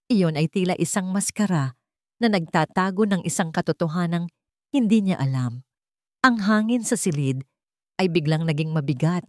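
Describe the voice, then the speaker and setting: Low-pitched Filipino woman narrating a dramatic story. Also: a little mellow, no room sound, studio quality.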